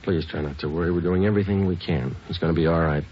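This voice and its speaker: A man playing a steady police sergeant in a 1940s radio crime drama, terse, matter-of-fact and deadpan. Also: low voice, quick pace, breathy edge. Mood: calm, coaxing. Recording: muffled 1940s radio recording, music behind.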